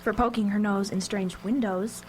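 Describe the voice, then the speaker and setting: A woman's voice: medium pitch, fairly even intonation, normal speed, darkish tone, natural voice. An actress playing a sarcastic, headstrong fifteen-year-old girl in a live radio drama, with a dry, teasing delivery. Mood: sarcastic, needling. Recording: noisy live radio recording.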